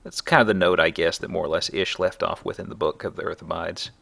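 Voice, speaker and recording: A man with a low, gravelly voice and a forceful edge commentates casually over a video game. He talks conversationally as host, tossing in wry, jokey riffs. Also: steady tone, quick pace. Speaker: let's play host.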